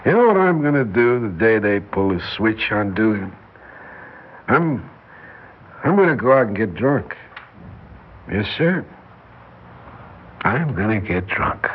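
An actor playing an evasive, slangy down-and-out drunk with slurred speech in a 1960s radio drama. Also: male voice, on the low side, very animated, unhurried, pauses often. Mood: bitter, boozy. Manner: slurred, rambling, slangy tipsy talk. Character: drunken, evasive down-and-out barfly man in hiding. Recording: dull, noisy 1960s radio recording.

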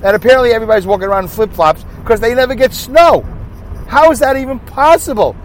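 A man's voice: very high-pitched, fast, poor audio. A man with a Brooklyn accent hosting a rambling solo podcast while driving, chatty and self-mocking, asking himself rhetorical questions. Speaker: podcast host. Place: inside a car while driving on a highway. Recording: faint road noise in a car. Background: road and car noise.

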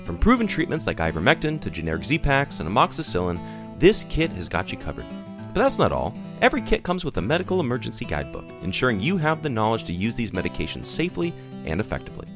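A man reading a scripted infomercial sales pitch as an advertising announcer. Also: wildly swooping pitch, fast. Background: plucked guitar music.

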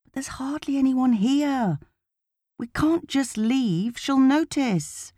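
A woman's voice: sits high, animated, slow, mellow, no echo, voice pushed up, heavily acted. A woman voicing a sympathetic, slightly uneasy man of around forty in an audiobook, talking in a familiar, conversational way. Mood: bored, desperate. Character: Zelda's male friend Lenny, sympathetic, uneasy, around forty.

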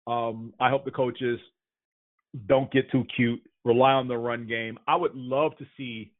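A man's voice: medium pitch, dry recording. A man co-hosting a casual sports podcast, going over injury news and power rankings. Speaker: podcast co-host. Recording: tinny phone-like dry sound.

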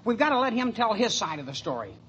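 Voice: medium pitch, sing-song, fast, a bit bright. A performer playing an earnest, solemn, pompous boy acting as man of the family in a 1950s radio sitcom. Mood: solemn, indignant. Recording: slightly noisy old radio recording.

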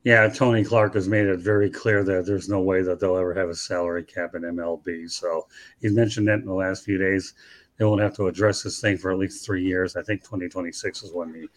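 A man with a low, slightly hoarse voice runs a casual sports talk show. He is chatty and jokey as he keeps the panel moving. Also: steady tone, fairly quick.

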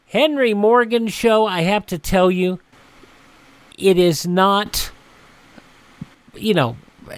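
A man hosting a radio show, giving conversational commentary on classic radio in a halting, reflective way full of asides. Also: sits high, no echo.

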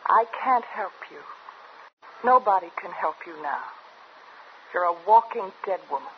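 A woman's voice: medium pitch, lively intonation, unhurried, lightly acted. A dull, slightly noisy old radio recording of an actress as an embittered female assistant. She is scornful and accusing, biting into her words with emphatic 1950s radio drama delivery. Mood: scared, reproachful. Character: bitter, scornful assistant turning on the boss.

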